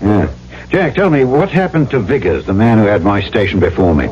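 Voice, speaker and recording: An actor playing an uneasy, stubborn island trader who narrates and questions dramatically in an old-time radio drama. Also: male voice, on the low side, expressive, brisk, a little mellow, natural voice. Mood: probing, wary. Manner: dramatic first-person storytelling and pointed questioning. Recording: muffled old radio broadcast with music.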